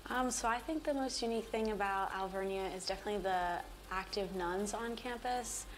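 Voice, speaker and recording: A woman's voice: medium pitch, brisk, slight background noise, poor audio. A young woman graduating, speaking fondly in a testimonial interview. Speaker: graduating student.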